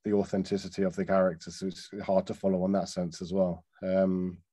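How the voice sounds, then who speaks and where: Low, mellow man, thoughtful and halting. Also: level tone, no echo, studio quality. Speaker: casting director on a feedback panel. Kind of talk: informal spoken feedback.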